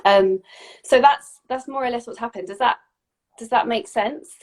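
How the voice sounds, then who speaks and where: A woman's voice: a little high, moderate pace, a little mellow. Woman, 40s, health practitioner; casual, explanatory, stop-start delivery. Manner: explanatory, frequent restarts and repeats. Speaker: functional medicine practitioner. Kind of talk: casual expert chat.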